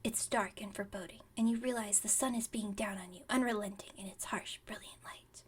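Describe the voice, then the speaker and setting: A woman's voice: steady tone, a little fast, breathy edge. A woman playing a flustered, dramatic, scatterbrained witch shopkeeper in an ASMR roleplay, theatrical but chatty and rambling. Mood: hushed, ominous. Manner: theatrical sales pitch slipping into chatty rambling.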